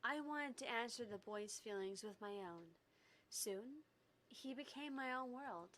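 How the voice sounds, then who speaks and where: A woman's voice: a little high, lively intonation, measured pace, a bit bright, a little hiss or hum. A woman voicing a wounded, devoted young woman making a sorrowful confession in a visual novel read-aloud. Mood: wistful confession.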